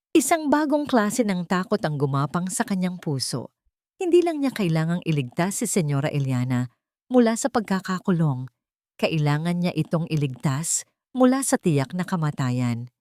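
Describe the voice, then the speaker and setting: A woman narrating a dramatic scripted audio story in deliberate, suspenseful phrases. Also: on the low side, warm tone, no echo, clean studio sound.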